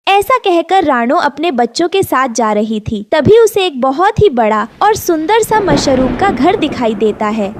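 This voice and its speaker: High-pitched woman narrating a children's story. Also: big pitch swings, unhurried, hardly any pauses, no room sound, clean studio sound.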